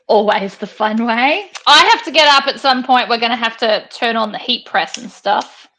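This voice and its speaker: A woman hosting a live sew-along from her sewing room, chatting loudly and excitably over a headset on a video call. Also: a little high, measured pace, a little hiss or hum. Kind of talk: casual live-stream chat. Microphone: headphones with mic on a video call.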